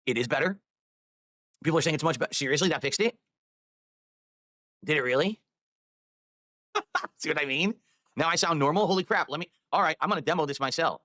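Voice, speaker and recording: A man's voice: on the high side, a little fast, long pauses, sharp tone, no room sound, clean studio sound. A man live-streaming, rambling while he fiddles with his microphone and audio settings.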